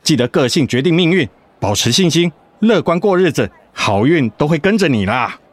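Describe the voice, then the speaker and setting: A man's voice: a little high, very animated, moderate pace, dry recording. An actor playing a cheerful, doting middle-aged husband and father in a radio drama, playful and encouraging. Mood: upbeat, encouraging.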